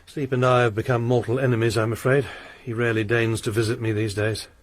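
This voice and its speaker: A man's voice: medium pitch, level tone, fast talker, lightly acted. An actor playing a secretive male lodger with a formal, courteous way of speaking, in a radio drama. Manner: formal, courteous, slightly mannered.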